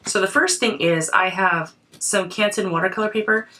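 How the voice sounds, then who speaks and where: A woman's voice: mid-range voice, normal speed, pushed and bright, recorded from a distance. A woman creativity coach, her voice affected by a cold, chatting casually and thinking aloud as she crafts in a journal.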